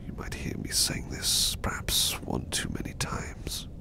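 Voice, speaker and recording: A man playing a gentle, protective servant in an ASMR roleplay, speaking in a soft breathy whisper with tender, polite reassurance. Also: unhurried, close to an everyday voice. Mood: tender, reassuring. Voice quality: soft, breathy whisper with low creaky rasp. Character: gentle, protective male servant soothing his master to sleep. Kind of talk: ASMR roleplay, personal attention.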